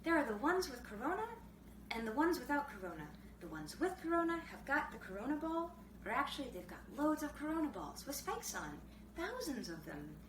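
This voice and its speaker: Lady doing a stubborn jabbering seven year old boy from a storybook, squeaky high, bouncy, noisy room. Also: very animated, brisk. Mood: excited, insistent. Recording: roomy, distant mic, clearly noisy background.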